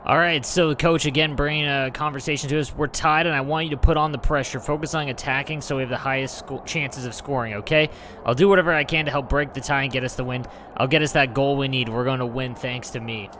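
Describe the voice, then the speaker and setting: A male gaming host with a bright, forceful voice talks through his gameplay. His delivery is casual and informal, explaining things as he goes, with faint noise in the recording. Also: medium pitch, steady tone, fast talker. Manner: informal, reads game text aloud.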